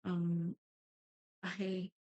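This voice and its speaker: A woman's voice: on the low side, completely flat delivery, extremely slow, dark tone, no echo, lo-fi sound. A young woman from Quebec with a Quebec French accent, a native French speaker chatting casually and rambling with many restarts while she crafts. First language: Canadian French. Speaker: crafting channel host.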